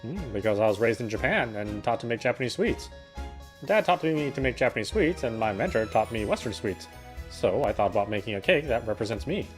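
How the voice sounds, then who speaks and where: A man's voice: medium pitch, lively intonation, a little fast. A man reading a visual novel's narration and dialogue aloud over game music. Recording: music playing behind the voice.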